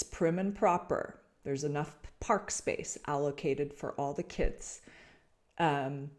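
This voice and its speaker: A woman from Canada speaks in a low, unhurried voice, feeling her way through each thought. She restarts phrases and fills pauses as she reflects aloud to her podcast listeners. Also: a little mellow. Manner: slow, searching, many restarts and fillers. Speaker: podcast host. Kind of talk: reflective spiritual talk to listeners.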